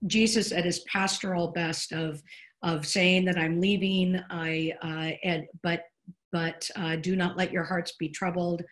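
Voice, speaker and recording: A middle-aged woman co-hosting a podcast about preaching, talking in an earnest, reflective way from home over a video call. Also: on the low side. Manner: earnest, reflective, gestures with hands while talking. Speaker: podcast co-host discussing preaching. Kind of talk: casual podcast discussion.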